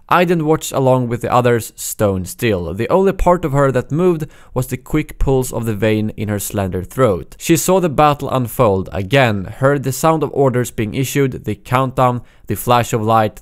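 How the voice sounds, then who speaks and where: A man with a Swedish accent who hosts a gaming news channel, reading a novel excerpt aloud in a steady, plain voice. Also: mid-range voice.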